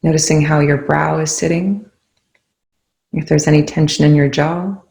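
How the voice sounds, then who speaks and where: A calm, soothing voice leading a guided meditation in gently spaced phrases. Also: mid-range voice, normal speed, vocal fry. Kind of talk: guided meditation, soft instruction. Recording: roomy, echoing indoor sound.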